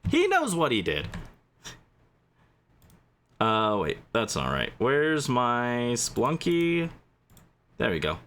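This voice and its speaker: A man's voice: medium pitch, wildly swooping pitch, slow, pauses often, a bit bright, a little hiss or hum. A man hosting a gaming livestream, chatting casually and playfully as he responds to his viewers. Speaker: livestream host.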